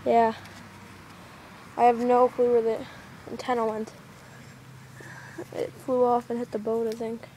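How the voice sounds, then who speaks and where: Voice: medium pitch, monotone, a little slow, pauses often, warm tone. Someone giving casual, offhand commentary on what they are doing, as an amateur filming outdoors. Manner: offhand, narrating actions as they happen. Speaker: amateur video maker. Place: outdoors in a yard by a street. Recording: noisy amateur camcorder audio.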